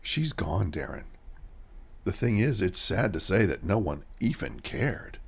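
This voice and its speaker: A man playing a callous, defensive accomplice in a radio mystery drama, gravelly and insistent. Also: low-pitched, big pitch swings, measured pace, full character voice. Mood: dismissive. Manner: dismissive, insistent. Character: callous, defensive male accomplice hiding a crime.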